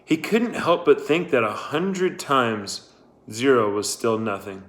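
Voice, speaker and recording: Male narrator for a novel read-aloud, mid-range pitch, very unhurried delivery. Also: very animated, fairly bright tone. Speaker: audiobook-style narrator.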